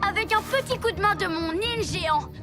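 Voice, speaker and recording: A woman's voice: very high-pitched, a little fast. A woman voicing a bratty, scheming child ninja villain in a cartoon dub, bossy, boastful and theatrical. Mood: gloating. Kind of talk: French cartoon dub acting. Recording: loud music behind the voice.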